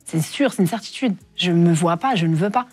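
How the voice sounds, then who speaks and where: A woman's voice: lowish voice, lively intonation, a little fast, dry recording, faint music behind. A woman in her forties, a singer guest in an interview, speaking candidly and reflectively with many hesitations. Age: 40s. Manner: reflective, soft-spoken with frequent hesitations.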